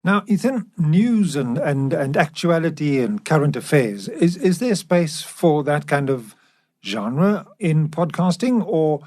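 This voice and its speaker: A man's voice: fairly high pitch, lively intonation, measured pace, a little mellow. Conversational man hosting. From South Africa. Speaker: podcast host. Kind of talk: conversational podcast discussion.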